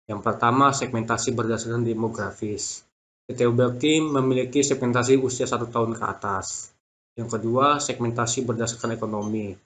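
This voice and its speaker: Young man reading a presentation haltingly. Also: mid-range voice, fairly even intonation, a bit bright. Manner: stumbles over words and restarts them. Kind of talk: reading a prepared business plan presentation.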